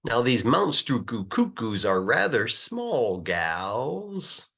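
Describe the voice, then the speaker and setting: A man reads a children's story aloud in an expressive, sing-song rhythm, stretching words for emphasis like a teacher reading to a class. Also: mid-range voice, slow pace, gravelly, slightly rough, muffled recording. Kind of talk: animated rhyming storybook narration.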